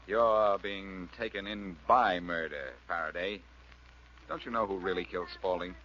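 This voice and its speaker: Cocky low-voiced radio detective. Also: male voice, normal speed. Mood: confident, accusing. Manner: sardonic, taunting, self-assured. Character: cocky, wisecracking amateur detective, reformed rogue. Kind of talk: 1940s radio detective drama acting. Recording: dull, hissy vintage radio recording.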